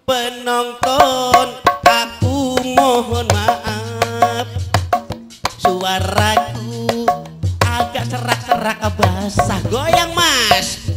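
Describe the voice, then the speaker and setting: A man's voice: extremely high pitch, fairly even intonation, drawn-out words. A middle-aged man, doing playful live comic banter and snatches of mock crooning on a stage microphone. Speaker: stage comedian. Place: outdoor village party stage. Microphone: handheld microphone. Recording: live stage PA with music behind.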